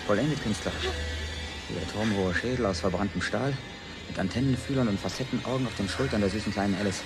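An actor as a driven, fast-talking sardonic man. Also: on the low side, loud music behind the voice, close to an everyday voice. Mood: bitter sarcasm. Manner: commanding, sarcastic, urgent. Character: driven, frightened, bitterly sardonic man in a science-fiction catastrophe. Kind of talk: German radio play acting, dramatic dialogue.

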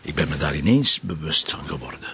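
An actor playing a weary middle-aged Flemish police commissioner, gravelly and coaxing, in a TV drama. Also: male voice, rumbling low, expressive, fairly quick, pushed and bright, slight background noise, full character voice. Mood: tender. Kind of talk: TV drama acting. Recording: dull lo-fi TV audio.

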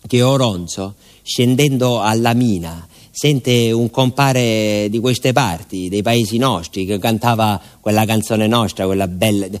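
An actor playing a naive, talkative village postman with a southern Italian accent, telling his story in a confiding, halting radio monologue. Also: fairly low pitch, lightly acted. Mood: wistful, confiding. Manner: folksy, confiding, with hesitations and repetitions.

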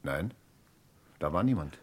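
An actor playing a nervous, plain-spoken neighbour as a witness in an old German radio crime drama, halting and colloquial yet emphatic. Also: male voice, very deep voice, animated, full character voice. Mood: matter-of-fact. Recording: vintage radio play with clear background noise.

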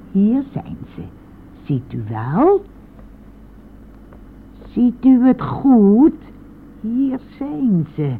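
A woman's voice: lowish voice, heavily acted. An elderly mother in a radio drama, stubborn and aggrieved, pressing her points insistently and sometimes sinking toward a whisper. The sound is narrow and phone-like with a faint layer of noise. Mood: accusing, indignant. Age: older. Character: anguished, stubborn elderly mother of a grown son. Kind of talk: radio play acting. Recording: noisy phone-like old radio play recording.

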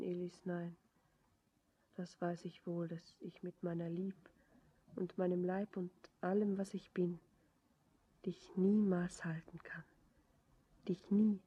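An actress playing a despairing, devoted young bride in a poetic radio drama, speaking in halting whispers. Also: female voice, fairly low pitch, mellow. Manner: breathy, halting, whispered verse delivery. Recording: dull lo-fi radio recording.

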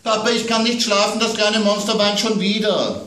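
A man's voice: sits high, fairly even intonation, bright, forceful tone, voice pushed up. A man voicing a small, stubborn little girl, stretching her pleading words out long. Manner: pleading, drawn-out childlike lines. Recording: roomy, distant, faint room noise.

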